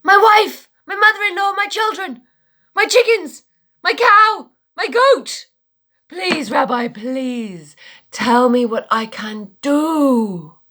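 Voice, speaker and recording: A woman's voice: animated, slow pace, sharp tone, full character voice. A woman doing a frantic pleading poor man. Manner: pleading, high and strained.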